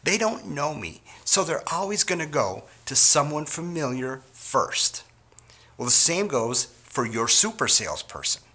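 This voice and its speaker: A middle-aged man from Wisconsin giving a scripted sales training talk to store owners in an earnest, measured way. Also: medium pitch, pushed and bright, slightly rough.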